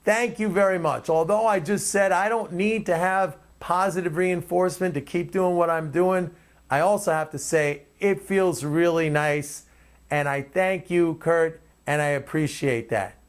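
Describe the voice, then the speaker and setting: Guy with a slightly high voice doing opinionated commentary off the cuff, really leans on his points. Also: measured pace. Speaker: commentator and host.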